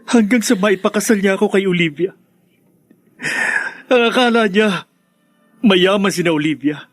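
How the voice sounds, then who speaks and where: A man's voice: high voice, normal speed, no echo, clean studio sound, soft background music. A man with a Filipino accent playing a bitter, resentful older brother in a radio drama.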